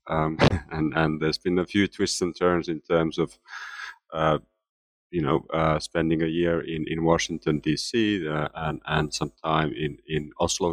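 Deep-voiced Finnish-born professor, hesitant. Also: male voice, vocal fry. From Finland. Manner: hesitant, many restarts and fillers. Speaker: guest author, history professor. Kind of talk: interview answers.

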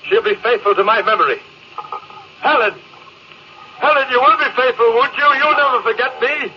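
A man's voice: extremely high pitch, normal speed. An actor playing a proud, lonely male space captain and family man in a tense, theatrical old-time radio style. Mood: desperate, pleading. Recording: dull, hissy old radio recording.

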